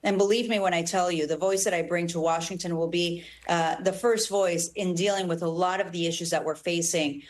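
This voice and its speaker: A woman's voice: lowish voice, steady tone, brisk, hardly any pauses. A congresswoman from Ecuador whose first language is Spanish, speaking earnestly and emphatically in a political interview. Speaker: congresswoman and Senate candidate.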